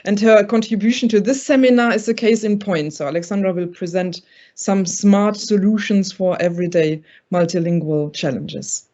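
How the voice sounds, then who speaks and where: A woman with a medium-pitched voice speaks as a seminar moderator. She gives a formal introduction of a speaker. The audio is slightly dull, as from an online meeting. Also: fairly even intonation, normal speed, warm tone.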